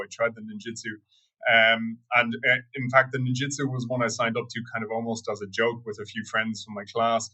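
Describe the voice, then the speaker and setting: Young Irish man, interview guest, measured answers, bright voice, mid pitch. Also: rough recording. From Ireland. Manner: thoughtful, measured, with fillers.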